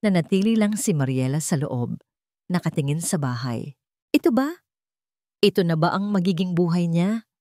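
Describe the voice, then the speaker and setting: A woman's voice: on the low side, expressive, normal speed, long pauses, dark tone, no room sound, clean studio sound. A woman reading a scripted dramatic story as a storyteller.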